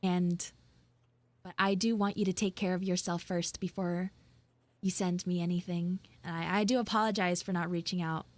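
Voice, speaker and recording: A woman's voice: lowish voice, fairly even intonation, moderate pace, no room sound. Dry, close-miked woman streamer chatting informally. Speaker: livestreamer and VTuber host. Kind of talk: casual chat to live stream viewers.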